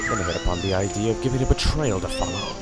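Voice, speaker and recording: A man's voice: lowish voice, wildly swooping pitch, fast talker, loud music behind the voice. A man playing a tense, weary hunter in a radio drama, telling his ordeal in a grave, dramatic way. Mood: tense, driven. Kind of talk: first-person radio drama narration.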